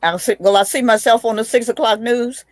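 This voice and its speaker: A woman's voice: on the high side, a little fast, no room sound. A woman, a witty, blunt guest telling stories in a casual video chat, often restarting her sentences. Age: 80s. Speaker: guest, aunt.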